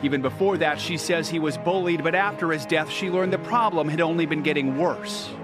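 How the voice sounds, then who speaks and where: A man's voice: on the high side, fairly quick, music in the background. A man reading a scripted news report as a reporter.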